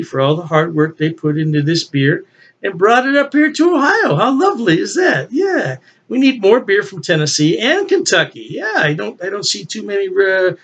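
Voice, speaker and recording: An older man talks through a beer tasting in a relaxed, folksy way, chuckling to himself as he goes. His voice sits a little high for a man. The webcam sound is somewhat dull and lo-fi. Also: slightly rough. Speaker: beer reviewer. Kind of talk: casual solo video review. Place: home office with beer memorabilia, indoors. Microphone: webcam at desk.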